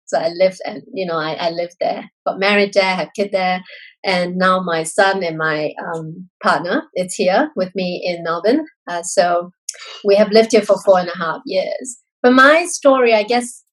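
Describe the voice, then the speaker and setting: A woman's voice: fairly low pitch, a little slow, rough recording. A woman in her forties from Singapore, a guest telling her life story in an earnest, reflective way, with frequent restarts and fillers. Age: 40s. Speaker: interview guest. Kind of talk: candid personal storytelling.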